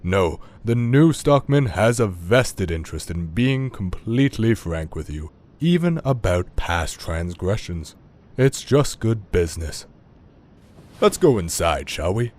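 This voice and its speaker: A man's voice: on the low side, very animated, unhurried, a little mellow. A man playing a smug, scheming scientist businessman villain, speaking in a suave, wordy, theatrical and mocking way. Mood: smug, teasing. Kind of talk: audio drama villain acting.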